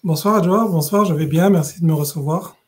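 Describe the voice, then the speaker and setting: A middle-aged man from West Africa, a podcast guest, telling his story in a measured, thoughtful way with many pauses and restarts. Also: fairly high pitch. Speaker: guest, regional director. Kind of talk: conversational podcast interview.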